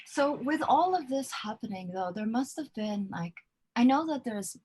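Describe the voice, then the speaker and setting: A woman hosting a relaxed live chat show over a video call. She talks in a loose, chatty way, laughs easily and fills her sentences with "like" and "you know". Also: on the high side, moderate pace, slight background noise, low-quality recording. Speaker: host. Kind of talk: casual livestream interview.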